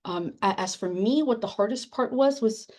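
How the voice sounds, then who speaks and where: A woman's voice: on the high side, moderate pace, a little mellow. A woman whose first language is Spanish, an editor speaking earnestly on a panel over a video call, with many fillers and restarts. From Spanish-speaking country. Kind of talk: panel discussion at a virtual book launch.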